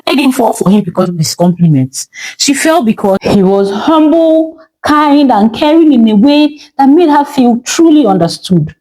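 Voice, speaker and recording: A woman's voice: high-pitched, lively intonation, moderate pace, hardly any pauses, warm tone, rough recording. A woman with a Nigerian accent narrating a scripted story in a theatrical way, with emphatic short phrases and rhetorical questions.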